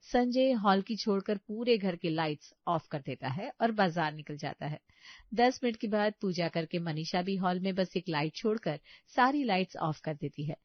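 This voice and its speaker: A woman's voice: lowish voice, animated, moderate pace, no echo, highs cut off. A woman reading scripted narration as a storyteller.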